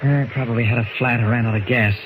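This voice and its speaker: A man's voice: medium pitch, fairly even intonation, fast, narrow, tinny audio, natural voice. An actor playing a purposeful male newspaper reporter, confiding and authoritative, in 1940s radio acting. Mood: brisk, purposeful. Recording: noisy 1940s radio recording.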